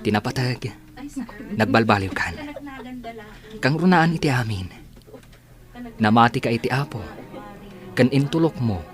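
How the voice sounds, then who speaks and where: Man acting animated, forgiving young Filipino man. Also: medium pitch, unhurried, long pauses, lightly acted. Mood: forgiving, earnest. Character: forgiving, devout young man, a new engineer. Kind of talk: audio drama acting. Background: background music.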